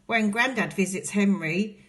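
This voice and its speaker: A woman's voice: medium pitch, a little slow, fairly bright tone. A woman teacher reading a picture book aloud to young children, telling the story expressively and dramatically. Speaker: infant school teacher.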